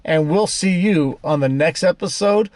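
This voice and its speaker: Higher-voiced man hosting a casual vlog. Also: unhurried, darkish tone, dry recording. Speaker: host of an off-road vehicle channel. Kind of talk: casual product demo vlog.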